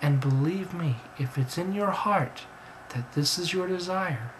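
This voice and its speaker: A man's voice: a little high, measured pace, a little hiss or hum, voice pushed up, close to an everyday voice, low-quality recording. A man voicing an insistent, earnest talking bird in a storybook tale, scolding and grand in manner, in an amateur recording. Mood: earnest, pleading. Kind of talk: storybook character voice.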